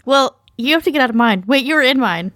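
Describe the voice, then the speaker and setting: A woman's voice: high voice, animated, quick pace, fairly bright tone, dry recording. A woman chatting casually as a podcast panelist, joking and self-deprecating, recorded close in a small treated spot. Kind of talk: casual chat. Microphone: mic inside foam-lined box.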